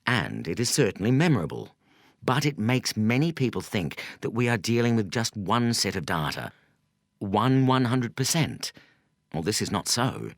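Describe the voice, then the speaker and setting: A man reading a nonfiction audiobook in a measured, articulate way with emphatic phrasing. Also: lowish voice, sing-song, no echo, clean studio sound. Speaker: audiobook narrator. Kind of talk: scripted audiobook narration.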